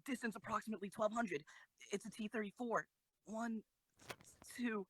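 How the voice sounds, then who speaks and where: A man voicing a meek young tank crew lookout with a breathy voice in an amateur audio drama. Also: high voice, moderate pace, a bit bright, slight background noise, pitched above the performer's own voice, poor audio. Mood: tense, reporting.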